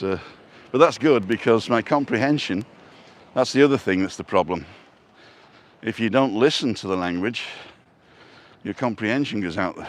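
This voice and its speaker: A man with a Yorkshire accent, chatting and joking on a live walk outdoors by the sea. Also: fairly low pitch. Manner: chatty, joking, reads viewer comments aloud. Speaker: vlog host. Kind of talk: casual live-stream walk chat. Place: outdoors on a seaside walkway.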